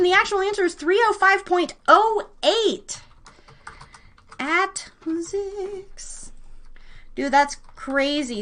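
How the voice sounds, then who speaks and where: A woman's voice: very high-pitched, fairly bright tone. A woman hosting a casual live trivia stream, chatting informally and slangily with her listeners. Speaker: live show host running a trivia quiz.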